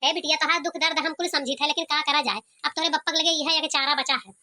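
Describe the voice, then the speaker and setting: A woman's voice: high-pitched, steady tone, quick pace, bright, forceful tone. A woman voicing a protective, anguished village mother in an Awadhi cartoon, with an Awadhi accent and a plaintive, scolding manner. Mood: sorrowful lament. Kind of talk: cartoon comedy voice acting.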